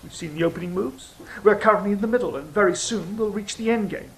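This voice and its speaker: An actor playing a sly, cynical fallen angel in an audio drama, speaking in a measured, philosophical, teasing way. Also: mid-range voice, fairly bright tone, natural voice, low-quality recording. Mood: worldly, dismissive. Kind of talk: theatrical audio drama dialogue and monologue.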